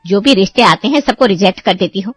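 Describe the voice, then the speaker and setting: A woman's voice: mid-range voice, expressive, fast talker, fairly bright tone, breathy edge, dry recording. A woman playing an exasperated middle-aged mother in a cartoon story. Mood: exasperated, nagging. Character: exasperated middle-aged mother scolding her grown children. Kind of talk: Hindi cartoon story voice acting.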